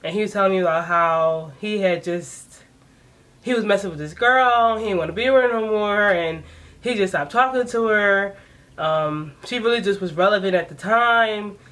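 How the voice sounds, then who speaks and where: A woman in her late twenties recounting a personal story straight to the camera at home. She is chatty and animated and laughs often. Her voice sits at a middle pitch. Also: normal speed. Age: 20s. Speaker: vlogger. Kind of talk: casual story time.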